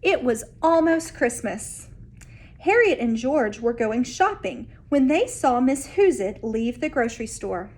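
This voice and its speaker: A woman, an elementary teacher, reading a children's story aloud with careful, expressive narration. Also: on the high side, unhurried, slightly rough.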